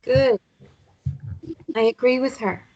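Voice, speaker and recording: A woman acts a caring mother in a soft romance drama. Her voice is on the high side and gently wry, caught with some background noise and small clicks. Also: takes it slowly, lo-fi sound. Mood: tender, reassuring. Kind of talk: table read of a romance drama.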